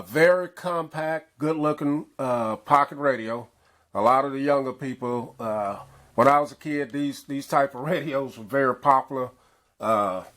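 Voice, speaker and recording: Easygoing middle-aged male reviewer, mid-range voice, unhurried, loose and rambling delivery. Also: medium pitch. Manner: relaxed, rambling, frequent uhs. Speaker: gadget reviewer. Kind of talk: casual product review.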